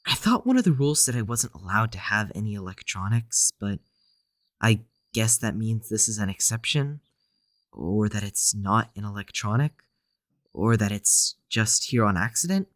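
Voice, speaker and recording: A man's voice: on the low side, lively intonation, normal speed, fairly bright tone, no room sound, studio quality. A man playing a tired, withdrawn young psychiatric patient in an audio drama, speaking in an anxious, subdued, hesitant way. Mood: hesitant, resigned.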